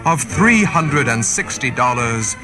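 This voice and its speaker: A man's voice: mid-range voice, lively intonation, slow. A man playing a formal, drily self-satisfied, tongue-in-cheek spokesman in a 1960s radio commercial. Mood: smug, formal. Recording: vintage radio ad over loud music.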